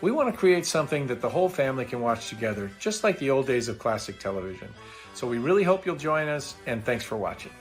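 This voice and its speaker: A man presenting a campaign in scripted promotional narration, measured and earnest. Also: medium pitch, very animated, faint music behind. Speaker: campaign presenter and film maker.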